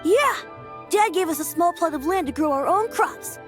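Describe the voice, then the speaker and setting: A little farm child from a video game, polite but stubborn. The voice is very high and bouncy with childlike, insistent phrasing, set over clear music. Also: female voice, expressive, normal speed. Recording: music behind the voice.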